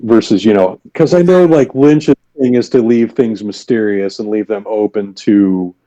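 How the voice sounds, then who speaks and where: Man, podcast co-host, casual chat, low warm voice, dull lo-fi recording. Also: moderate pace. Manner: chatty, thoughtful, many fillers. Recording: dull lo-fi sound.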